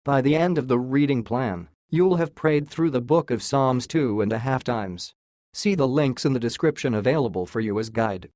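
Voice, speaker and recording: A man's voice: mid-range voice, expressive, moderate pace, darkish tone, no echo, studio quality. A man reading a scripted introduction as an announcer.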